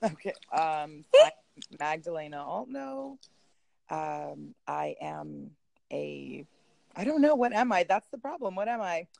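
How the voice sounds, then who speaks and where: A woman's voice: low-pitched, wildly swooping pitch, measured pace, gravelly, a little mellow, dry recording. A Canadian-accented woman guest on a podcast, born in Poland and raised in Canada, talking casually with easy laughs. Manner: chatty, laughs easily, self-deprecating. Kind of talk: casual conversational chat. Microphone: remote call.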